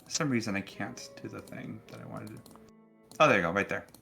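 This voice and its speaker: A man with a fairly low but bright, forward voice runs a tabletop role-playing game. He narrates off the cuff in a casual, halting way, pausing often. Music plays behind him. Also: vocal fry.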